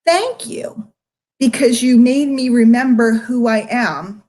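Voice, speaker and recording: A woman's voice: a little high, slow, a little mellow. A middle-aged American woman giving a candid, off-the-cuff monologue to her followers, emphatic and confrontational, repeating phrases for stress. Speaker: online marketer speaking to followers.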